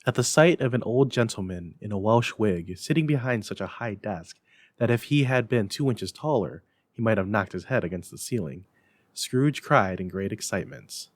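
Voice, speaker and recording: A man reading a classic story aloud as narrator, in an expressive, measured, dramatic style. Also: lowish voice, dry recording. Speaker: narrator, library staff reader.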